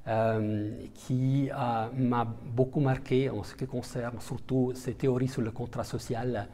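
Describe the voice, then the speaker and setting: Hesitant diplomat, mellow voice. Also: male voice, medium pitch, little pitch movement, a little slow. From Italian-speaking Switzerland. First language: Italian. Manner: hesitant, many fillers and restarts. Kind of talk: interview answers.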